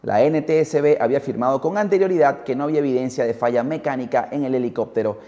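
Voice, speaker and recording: A man's voice: medium pitch, fast, dark tone. A man hosting a podcast, narrating from a script in a storytelling style. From Peru. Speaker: podcast host. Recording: muffled, heavy hall-like reverb.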